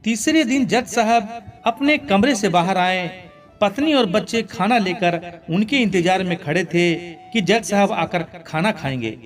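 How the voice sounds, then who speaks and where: High-voiced man narrating a sentimental story. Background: background music.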